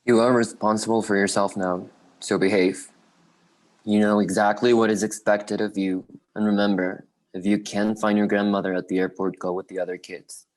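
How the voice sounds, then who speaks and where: A man's voice: on the low side, flat delivery, normal speed, a little mellow, close to an everyday voice, poor audio. A man reading the part of a Cuban judge and father sending his son away, earnest and fatherly, in a play table read. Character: stern but tender Cuban father, a judge.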